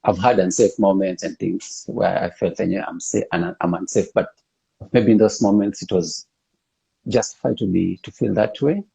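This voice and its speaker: A man interviewing someone in a casual, conversational way, with hesitant, unhurried phrasing. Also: low voice, vocal fry, warm tone, slightly rough, low-quality recording. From East and West Africa. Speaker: interviewer, host.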